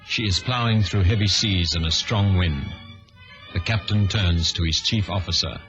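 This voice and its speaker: A man's voice: low voice, moderate pace, a bit bright. A man giving dramatic scripted announcements and narration in the style of old radio. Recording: dull vintage radio recording. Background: music.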